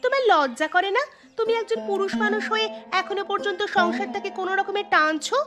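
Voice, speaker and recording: A woman's voice: very high-pitched, fast, music in the background. A woman voicing a nagging, demanding village wife in a cartoon, scolding and insistent. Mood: angry, demanding. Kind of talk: Bengali cartoon dubbing.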